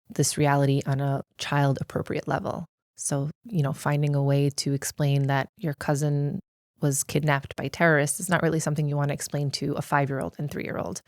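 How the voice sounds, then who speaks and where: Middle-aged American woman, deep voice, dry close sound; earnest interview guest who explains things carefully. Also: no room sound. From United States. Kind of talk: reflective personal interview.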